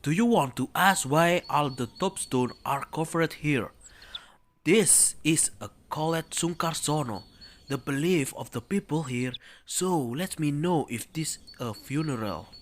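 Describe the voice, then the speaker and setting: A man's voice: on the high side, lively intonation, a little slow. A young man with an Indonesian accent playing a patient, cautionary village guide in an amateur radio drama, speaking stiff scripted English. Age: young adult. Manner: stiff scripted delivery in non-native English.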